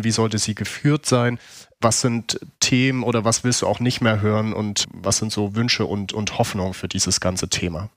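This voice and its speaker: Lowish-voiced male podcast host, dry sound. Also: no room sound. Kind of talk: conversational interview questions.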